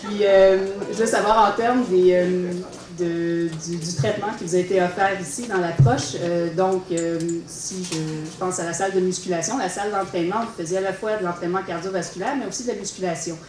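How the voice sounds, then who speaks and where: A woman's voice: lowish voice, steady tone, brisk, few pauses. Woman host, interviewing at a talk, distant in an echoey hall, noisy. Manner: interviewing, with some fillers. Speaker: event host, kinesiologist. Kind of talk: moderating a public talk. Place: lecture hall.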